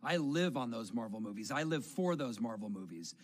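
Man, mid-pitched voice, mock monologue, quiet played-back clip. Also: lively intonation, a little fast, slight background noise.

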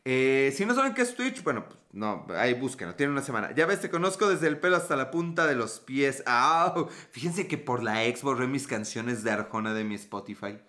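Joking Mexican man, bright voice. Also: medium pitch, expressive, moderate pace, few pauses. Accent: Mexican Spanish. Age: 30s. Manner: joking, profane, playful. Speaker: podcast host. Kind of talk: casual live-stream chat reading comments.

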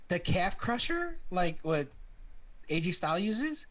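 A man's voice: high voice, very animated, unhurried, crowd noise. Guy asking wrestling questions, bouncy up-and-down voice, lots of like and you know. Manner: loose and filler-heavy, lots of "like" and "you know what I'm saying". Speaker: interviewer. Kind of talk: casual chat about wrestling.

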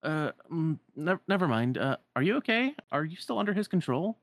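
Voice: mid-range voice, big pitch swings, normal speed, dry recording, voice pushed up. A performer voicing an earnest, flustered young boy hero who stammers with devotion in a retold game story. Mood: flustered, hesitant.

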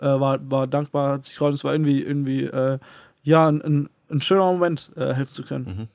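A young man co-hosting a casual podcast, talking in a halting way with many fillers and restarts. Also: mid-range voice, level tone, creaky, darkish tone, dry recording, clean studio sound. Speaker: podcast co-host. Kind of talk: casual conversation. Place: living in a city abroad.

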